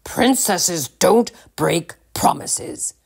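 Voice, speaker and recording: Woman reading as a stern old king, slow and firm. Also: mid-range voice, very animated, vocal fry, breathy edge, heavily acted.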